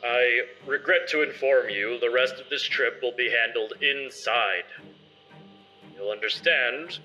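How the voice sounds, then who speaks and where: Man playing a captain on a dull, sharp-edged intercom. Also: medium pitch, a little slow, faint music behind. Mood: stern, formal. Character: formal, stern ship captain making an announcement. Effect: intercom filter. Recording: dull, band-limited intercom sound.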